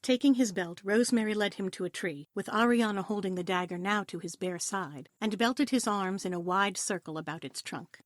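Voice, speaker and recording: A woman narrates a scripted adventure story with expression. Her voice sits at a middle pitch, captured dry and close. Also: normal speed, hardly any pauses, dry recording. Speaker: narrator of audio play.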